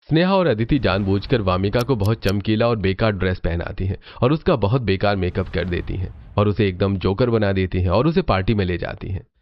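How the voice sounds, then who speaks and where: A man with a fairly low voice reads scripted narration for a cartoon story. He serves as the storyteller. The sound is a little dull. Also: a little fast, hardly any pauses.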